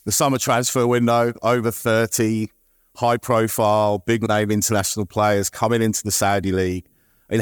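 A middle-aged man hosts a conference panel, his voice on the lower side. He talks loosely and conversationally, with a few fillers, and the sound is close and dry. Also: dry recording. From United Kingdom. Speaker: panel moderator. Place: conference stage.

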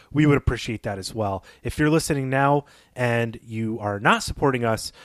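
A man's voice: medium pitch, no room sound. A man co-hosting a podcast, speaking casually to listeners on a close microphone. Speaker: podcast co-host. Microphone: close podcast microphone, dry sound.

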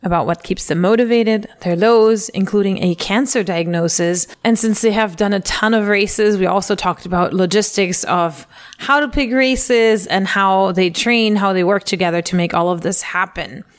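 Orta perdeden, dengeli bir sesle konuşan bir kadın sunucu; podcast açılışını neşeli, hazırlanmış bir metin gibi okuyor. Konuklarına sorularını hevesli ve samimi bir tonda yöneltiyor. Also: female voice, medium pitch, normal speed. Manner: upbeat, enthusiastic delivery. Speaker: podcast host. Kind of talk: scripted podcast intro and interview.

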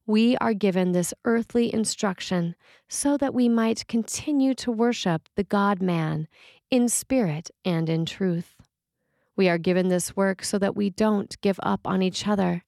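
A woman preacher reading a written sermon in a measured, reflective and earnest way. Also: medium pitch, creaky, a little mellow, no room sound, studio quality.

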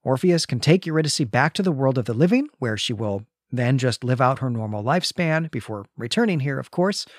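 A man's voice: mid-range voice, animated, fairly quick, hardly any pauses, dry recording, clean studio sound. A man co-hosting a podcast, recapping and discussing a story with emphatic repetition. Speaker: podcast co-host.

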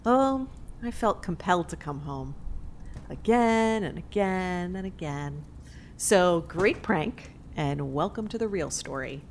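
Actress as a secretly murderous, slow-talking young woman. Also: lowish voice, slight background noise. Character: secretly murderous woman feigning innocence among old childhood friends. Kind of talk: audio drama acting.

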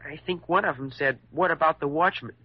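An actor with an American accent playing a defensive, pleading injured schoolboy in an old-time radio drama, speaking in hesitant boyish slang. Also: male voice, on the high side, brisk, breathy edge. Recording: muffled vintage radio recording.

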